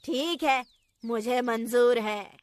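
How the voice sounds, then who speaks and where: Woman playing a taunting, high-voiced wicked witch. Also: slow pace, no room sound. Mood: scheming. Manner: taunting, cackling. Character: wicked female forest witch, menacing and scheming. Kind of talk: children's cartoon story acting.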